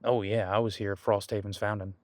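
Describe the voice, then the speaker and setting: Guy doing an old demon detective for audio rp, low voice, super dry sound, chill n folksy, just rambling along. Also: little pitch movement, fairly quick, dry recording, natural voice. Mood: relaxed, musing. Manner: laid-back, folksy, musing, chatty. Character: easygoing ancient male demon detective living on the coast. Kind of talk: conversational one-sided audio roleplay.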